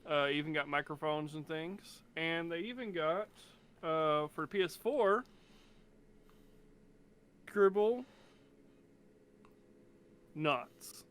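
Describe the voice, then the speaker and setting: A man's voice: on the high side, takes it slowly, long pauses, a bit bright, clean tone. A man chatting casually as a podcast panelist, rambling a little as he searches for words. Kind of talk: casual chat.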